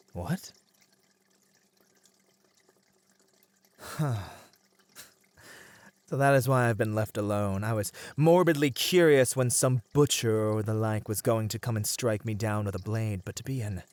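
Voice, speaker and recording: Guy doing a bitter proud merman for asmr roleplay, mid pitch, fancy old-timey wording, really dry close mic. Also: no room sound, close to an everyday voice. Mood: taken aback, indignant. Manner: formal, eloquent, archaic phrasing. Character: captive merman siren, proud, bitter, melancholy sea creature. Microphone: close studio mic, dry.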